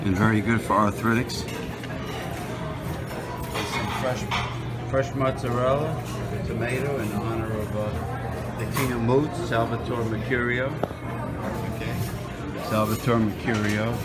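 A man's voice: medium pitch, steady tone, measured pace, long pauses, loud music behind the voice. A man giving a wry, chatty running commentary while filming a buffet on a handheld phone. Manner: chatty, wry, talks to himself while picking food. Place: indoor buffet restaurant. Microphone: handheld phone camera.

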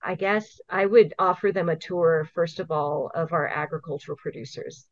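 A woman's voice: low voice, fairly even intonation, normal speed, no room sound. Middle-aged woman from hawaii on a video call, earnest, lays it out step by step, kinda muffled dry audio. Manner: earnest, explains step by step, laughs now and then. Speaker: invasive species program manager, guest. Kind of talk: casual interview answers.

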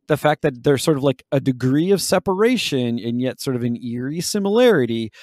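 A man's voice: mid-range voice, darkish tone, no echo, clean studio sound. A man co-hosting a podcast, talking through ideas in a casual but academic, reflective way. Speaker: podcast host. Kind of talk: casual analytical discussion.

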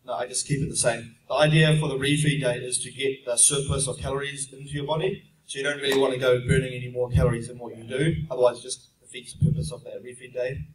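A young New Zealand man talks through audience questions at a seminar, explaining in a steady, plain way with frequent "um"s. His voice sits in a middling range. It is picked up from across a roomy, echoing conference hall, with faint noise behind it. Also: medium pitch, fast, few pauses, distant mic. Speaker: fitness speaker answering audience questions. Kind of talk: casual Q&A seminar talk. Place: large conference room at an expo. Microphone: handheld mic, heard from a distant camera. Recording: echoey room heard from afar.

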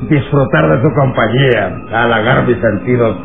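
Man playing gravelly, cruel slave-camp boss on tinny radio. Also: mid-range voice, normal speed, lightly acted. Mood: menacing, lecherous. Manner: commanding, sneering chuckles, barked orders. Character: cruel, menacing male slave-camp master, impatient and domineering. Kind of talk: vintage Latin American radio drama acting. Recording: tinny, noisy old radio recording.

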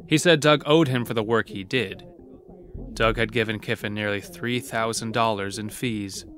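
Low-voiced male actor as horror storyteller. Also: normal speed, a bit bright, close to an everyday voice. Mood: grave storytelling. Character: storyteller of a dark horror tale. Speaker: narrator. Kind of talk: scripted horror audio drama narration.

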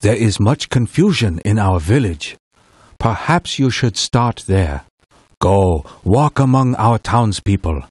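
Slow, husky, wise old lama. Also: male voice, on the low side, lively intonation, a little mellow, no room sound, clean studio sound, heavily acted. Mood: solemn counsel. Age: older. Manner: measured, grave, cryptic. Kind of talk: children's educational game acting.

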